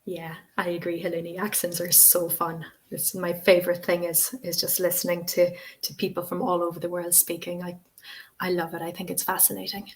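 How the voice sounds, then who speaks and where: A woman's voice: on the low side, completely flat delivery, normal speed. A woman in her forties with an Irish accent, presenting a teacher-training webinar and answering questions in a friendly, chatty way with many fillers. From Ireland. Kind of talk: live webinar Q&A.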